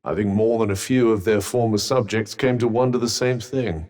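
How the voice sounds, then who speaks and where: A man's voice: lowish voice, steady tone, moderate pace, a little mellow, in a large hall, lightly acted. A British man voicing a witty, haughty magical talking vambrace in a video game, with pompous, droll and sardonic delivery. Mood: measured, wry. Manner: pompous, droll, erudite wording. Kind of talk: video game character dialogue.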